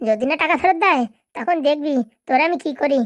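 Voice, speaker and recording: A woman's voice: high voice, expressive, fast, dry recording, clean studio sound. A woman voicing an angry, nagging wife and mother in a comedy cartoon, scolding and threatening. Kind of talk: Bengali comedy cartoon dialogue.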